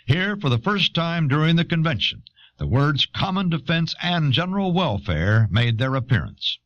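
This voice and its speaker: A man reading scripted historical narration in a measured, authoritative manner. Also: fairly high pitch, very animated, fairly bright tone, no room sound. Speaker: documentary narrator. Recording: dull old audio cassette.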